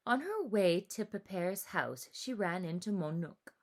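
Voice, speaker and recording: A woman reading a children's story aloud with animated, deliberate, expressive narration. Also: medium pitch.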